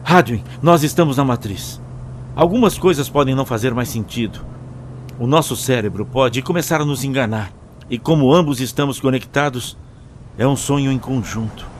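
A man playing a sober, cautious companion in a sci-fi audio drama, measured and explanatory. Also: medium pitch, slight background noise, close to an everyday voice. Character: sober, cautious male companion in a strange world.

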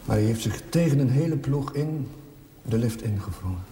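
Actor as a mellow, subdued curate, echoey room. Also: male voice, medium pitch, expressive, normal speed, lightly acted. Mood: subdued, troubled. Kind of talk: naturalistic television drama acting. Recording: echoey, distant, faintly noisy 1970s television soundtrack.

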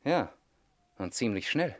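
Sardonic, smug young lawyer. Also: male voice, mid-range voice, sing-song, measured pace. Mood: matter-of-fact. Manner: dry, ironic, clipped. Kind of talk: 1960s German radio play acting. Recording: noisy 1960s radio recording with clicks.

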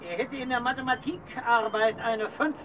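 A formal ground-station operator radioing a rocket crew. His delivery is dry and ironic, high-pitched and heard a little off-mic with faint noise. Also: moderate pace, fairly bright tone, distant mic, low-quality recording.

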